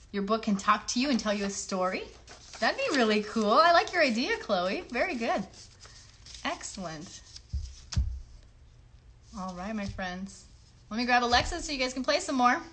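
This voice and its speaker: High, lively woman hosting a kids' livestream. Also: a little hiss or hum. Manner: encouraging, addresses children directly with tag questions. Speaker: children's show host and craft teacher. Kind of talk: casual livestream chat and craft instruction for children.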